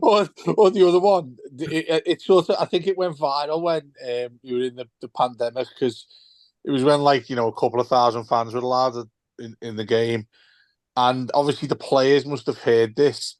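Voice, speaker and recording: A man's voice: mid-range voice, steady tone, moderate pace, dry recording. A man co-hosting a football podcast, telling stories with lots of swearing, laughter and stumbling restarts. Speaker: podcast co-host. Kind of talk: casual football podcast banter.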